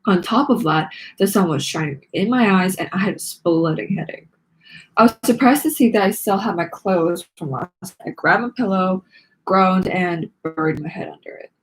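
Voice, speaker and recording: A woman's voice: on the low side, moderate pace, low-quality recording. A woman hosting a read-along, narrating a children's book expressively.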